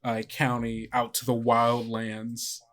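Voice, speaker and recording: A man's voice: medium pitch, completely flat delivery, slow, a bit bright. A man running a tabletop role-playing game, narrating the world and explaining the rules to players. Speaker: game master of an actual-play podcast.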